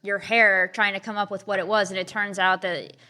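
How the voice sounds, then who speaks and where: A woman's voice: medium pitch, flat delivery, fairly quick, pushed and bright. A woman in her thirties, a podcast guest from North Carolina, chatting casually and laughing easily. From North Carolina, USA. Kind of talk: casual chat.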